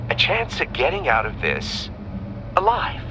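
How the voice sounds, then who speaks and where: An actor playing a sadistic, gloating villain in a video game, taunting with theatrical mock courtesy. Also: male voice, on the high side, wildly swooping pitch, unhurried, noisy background. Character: sadistic, gloating male riddle-obsessed villain.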